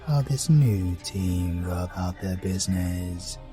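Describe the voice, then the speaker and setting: A man playing a calm, scheming demon lord in a fantasy audio drama, speaking in a slow, deliberate, commanding way. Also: low voice, faint music behind. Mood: cold, commanding. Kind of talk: fantasy audio roleplay voice acting.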